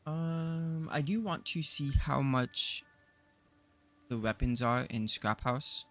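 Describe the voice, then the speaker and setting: A man with a raspy voice, groggy from just waking up, casually chatting through a live gameplay stream and rambling with self-deprecating humor. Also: mid-range voice, slow, a bit bright. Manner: rambling, self-deprecating, with frequent fillers and laughs. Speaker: game streamer.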